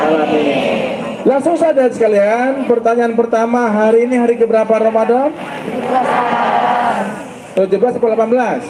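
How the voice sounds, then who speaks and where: A middle-aged man, an ustaz giving a joking sermon to school students and quizzing them. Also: very high-pitched. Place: school event hall. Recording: echoey hall, background noise and traffic.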